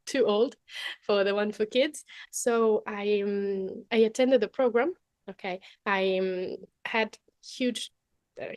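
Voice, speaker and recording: A woman's voice: medium pitch, no room sound. Italian-accented woman, young, presenting live online, dry close sound, lots of "okay?". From Italy. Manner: chatty, many fillers and "okay?" tags. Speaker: webinar presenter.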